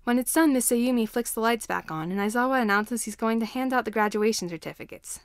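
A woman's voice: a little high, fairly quick, clear, resonant tone, dry recording, studio quality. A woman reading a scripted story aloud as narrator.

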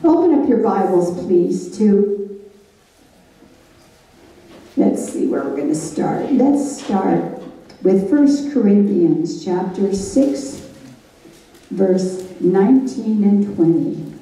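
A woman's voice: medium pitch, animated, slow, pauses often, mellow. An older woman leading a church Bible study, folksy and conversational, laughing at her own stories, speaking into a handheld microphone on a stage. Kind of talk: Bible study teaching with anecdotes. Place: church hall stage with projector screen. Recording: echoey hall, from far off.

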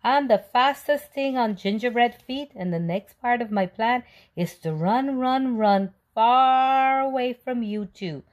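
A woman's voice: fairly high pitch, unhurried, highs cut off, pitched above the performer's own voice, natural voice. A woman voicing a cheeky, boastful little gingerbread man in a sing-song storybook read-aloud, with an odd little voice. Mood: gleeful taunting. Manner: sing-song chanting, taunting.

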